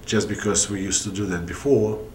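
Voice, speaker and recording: Low slow russian guy being interviewed, lots of pauses, echoey room. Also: fairly even intonation, darkish tone. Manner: halting, with long pauses. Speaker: puppeteer interviewee. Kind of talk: documentary interview. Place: indoor puppet theater, seated interview. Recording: roomy echo with faint noise.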